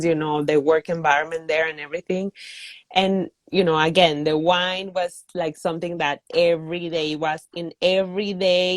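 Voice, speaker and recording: A woman's voice: fairly low pitch, no echo. Woman from Mexico, dry close sound. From northeast Mexico. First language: Spanish. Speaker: interview guest, wine community founder. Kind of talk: casual conversational interview.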